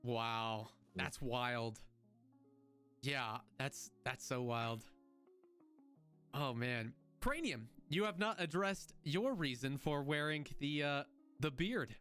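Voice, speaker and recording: A man's voice: medium pitch, lively intonation, slow pace, a bit bright, smooth, soft background music. A man in his twenties hosting a podcast, chatting casually and jokingly, rambling with frequent restarts. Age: 20s. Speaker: podcast host. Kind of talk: casual chat.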